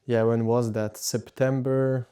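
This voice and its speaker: A man's voice: mid-range voice, flat delivery, slow pace, warm tone, smooth. A young man from Hungary, raised partly in Austria, casually telling his story as a podcast guest. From Hungary, moved to Austria aged seven. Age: teens to young adult.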